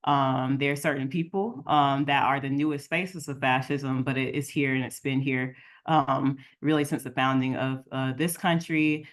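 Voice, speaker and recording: A woman from the United States moderating an online roundtable informally, speaking haltingly as she thinks aloud. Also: rumbling low, steady tone. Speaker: roundtable moderator, community organizer. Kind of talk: informal hosting remarks. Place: online video call.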